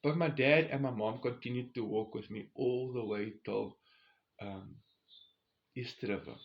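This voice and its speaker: A man's voice: medium pitch, measured pace, vocal fry, lo-fi sound. A man of about forty with a South African accent, telling a personal story in a casual, conversational way and addressing his listeners directly.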